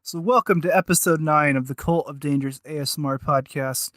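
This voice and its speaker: A man's voice: fairly high pitch, brisk, fairly bright tone, slight background noise. A man co-hosting a podcast in casual chat, self-deprecating and mildly profane. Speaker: podcast co-host.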